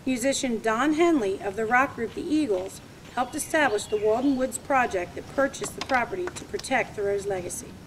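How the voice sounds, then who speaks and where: A woman with a slightly high voice introduces a homeschool group's play and then reads its opening aloud. She hesitates with fillers when she speaks off the cuff but reads steadily from the text. A clear hiss of background noise runs under the amateur recording. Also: moderate pace, few pauses. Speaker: homeschool drama group organizer. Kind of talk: spoken introduction, then reading a prologue aloud.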